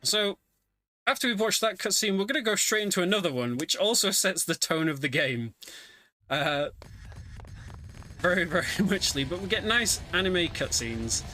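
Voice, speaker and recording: A man's voice: sits high, animated, moderate pace, bright, forceful tone. A man giving casual, chatty live commentary as he plays through a game in a speedrun. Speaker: speedrunner commentating his run. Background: video game music.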